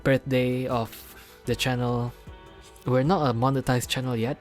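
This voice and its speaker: A man's voice: medium pitch, level tone, measured pace, soft background music. Joking man with an Indonesian-Singaporean accent. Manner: joking, loose, filler-heavy. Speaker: podcast co-host. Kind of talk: unscripted casual chat.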